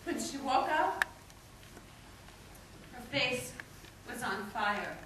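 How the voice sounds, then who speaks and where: A young woman reciting a dramatic stage monologue in an intense, theatrical manner with deliberate pauses. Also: a little high, animated. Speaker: stage performer. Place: indoor stage performance in a theatre or hall. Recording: echoey hall, distant mic, faint noise.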